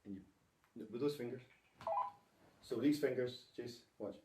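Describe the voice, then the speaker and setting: A man's voice: mid-range voice, moderate pace. Echoey, noisy casual man. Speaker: vlogger and father. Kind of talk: casual vlog chat. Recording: echoey, with clear background noise.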